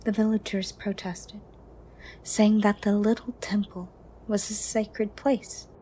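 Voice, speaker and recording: A woman playing a gentle, ancient female monster under the bed in a soft, breathy, partly whispered ASMR roleplay, formal and caring. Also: mid-range voice, flat delivery, a little hiss or hum, close to an everyday voice. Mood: wistful storytelling. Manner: slow, formal, caring, slightly stern.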